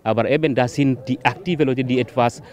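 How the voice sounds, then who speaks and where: A man's voice: medium pitch, fast talker, a little mellow. A man who organized the protest, explaining its reasons earnestly and often starting with a hesitant sound. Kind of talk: street interview answers.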